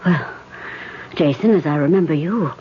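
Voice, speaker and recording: An actress playing a calm spirit medium leading a seance, often speaking in a hush, in an old radio drama. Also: female voice, low-pitched, moderate pace, slight background noise, lightly acted. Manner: calm, hushed, often whispering. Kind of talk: 1970s radio drama acting. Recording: dull old radio broadcast.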